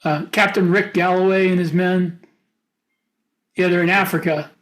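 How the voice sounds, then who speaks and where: A man's voice: high voice, fairly even intonation, normal speed, a bit bright. A man co-hosting a podcast, chatting informally as one of a panel. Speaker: podcast co-host. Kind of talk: casual panel chat.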